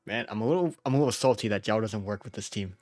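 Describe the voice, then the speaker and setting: A man's voice: fairly low pitch, quick pace, a little mellow, no room sound. A man doing casual, chatty live gameplay commentary as a streamer, with game music behind him. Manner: chatty, laughs often, casual swearing. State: feeling unwell. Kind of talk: casual live gameplay commentary, reading story summaries aloud.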